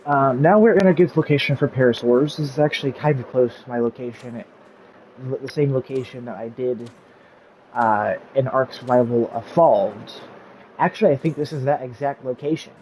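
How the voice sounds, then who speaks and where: A man's voice: medium pitch, moderate pace, a little mellow, poor audio. Rambling man with a fading voice. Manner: rambling, filler-heavy, easily sidetracked. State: losing his voice. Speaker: gaming YouTuber. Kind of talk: casual gameplay tutorial commentary.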